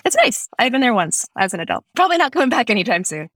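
A woman's voice: fast talker, dry recording, clean studio sound. A woman with an American accent, born in Russia, talking casually as a writer guest, witty with frequent laughs. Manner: witty, laughs often, lively jumps in pitch. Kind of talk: casual interview chat. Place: San Francisco.

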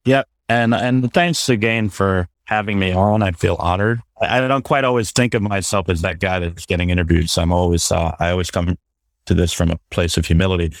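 A man's voice: sits low, fairly even intonation, fairly quick, no room sound. A man born in Barbados and raised in Brooklyn, talking earnestly and upbeat as an interview guest. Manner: upbeat, earnest, repeats words as he starts. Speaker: guest, sustainability advisor. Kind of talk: casual interview talk. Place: Williamsburg, Brooklyn, remote call.

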